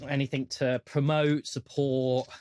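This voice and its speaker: A man's voice: mid-range voice, level tone, unhurried, darkish tone, smooth. A man with a British accent, game mastering and chatting casually on a tabletop roleplay show.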